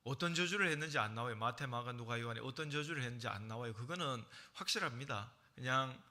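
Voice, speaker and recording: A man with a Gyeongsang accent delivers a sermon as pastor. His voice is very bright and forceful, and he retells the story in a lively way with acted-out parts. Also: mid-range voice, fast. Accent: Gyeongsang Korean. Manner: animated storytelling, acting out scenes.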